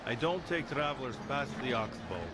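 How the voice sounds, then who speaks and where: A man's voice: mid-range voice, animated, normal speed, lightly acted. A man playing a surly, stubborn, skeptical ferryman in an audio adventure game, curt and scornful. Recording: loud outdoor ambient noise over voice.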